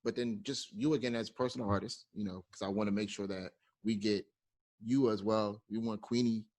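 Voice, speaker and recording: A man's voice: fairly low pitch, fairly even intonation, brisk, a little mellow. A man interviewing an artist in a laid-back, encouraging way, with casual phrasing.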